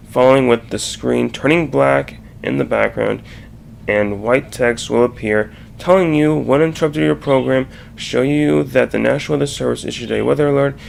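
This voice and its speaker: A man narrating a slide presentation in a halting, explanatory way. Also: mid-range voice, vocal fry. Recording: faint background noise.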